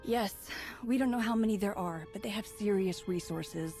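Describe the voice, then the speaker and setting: Actress as practical young game Jedi. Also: female voice, medium pitch, moderate pace, dark tone, faint music behind, lightly acted. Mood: worried. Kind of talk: video game cutscene acting.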